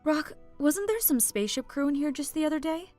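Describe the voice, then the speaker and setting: A woman playing a sharp-tongued, short-tempered human barwoman, sardonic and teasing, in a fantasy audiobook. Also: high-pitched, lively intonation, a little fast, faint music behind. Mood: wry. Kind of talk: audiobook character acting.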